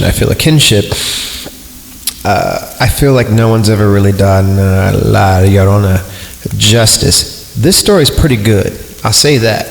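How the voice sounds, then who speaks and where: A man's voice: on the low side, gravelly, slight background noise. A man hosting a podcast, casually reading and riffing on horror stories in an irreverent, joking, rambling way. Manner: irreverent, joking, digressive, easily amused. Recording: echoey long reverb, music behind the voice. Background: music.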